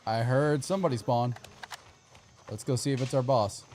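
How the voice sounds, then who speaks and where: A man's voice: mid-range voice, moderate pace, darkish tone. A man streaming a video game, giving casual commentary and chatting with viewers, sometimes muttering to himself. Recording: clear background game ambience noise.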